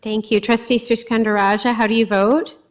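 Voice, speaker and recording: A woman chairing a school board meeting, calling a roll-call vote in a brisk, formal, lightly amused way. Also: fairly high pitch, flat delivery.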